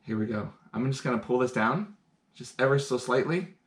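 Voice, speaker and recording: A man with a middle-pitched voice runs a home science lesson over a live stream, explaining each step in an easy, chatty way. He sounds keen and a little excited as he carries out simple experiments in front of the camera, and his voice drifts closer and farther as he moves around. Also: normal speed. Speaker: science teacher. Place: home, indoors.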